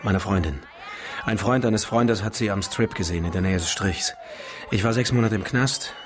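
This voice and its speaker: Casting: male actor as hard ex-con, low voice, curt and crude, quick to anger, radio drama. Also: crowd noise, close to an everyday voice. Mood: guarded, curt. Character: volatile, obsessive ex-convict searching for his girlfriend. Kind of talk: German radio drama acting.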